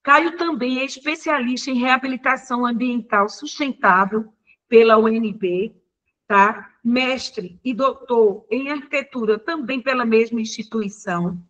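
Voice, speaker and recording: An older woman professor introduces a guest speaker over a video call, speaking in a halting way with frequent fillers and self-corrections. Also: a little high, a bit bright, highs cut off. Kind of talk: formal introduction.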